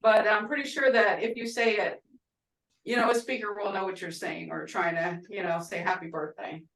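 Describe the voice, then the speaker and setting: A woman with an Oklahoma twang teaching a language class over a video call, chatty and quick to laugh. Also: medium pitch, fairly even intonation, creaky, a bit bright. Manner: chatty, laughing often, storytelling asides. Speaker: language instructor. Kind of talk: casual online class teaching. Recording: roomy, distant video-call audio.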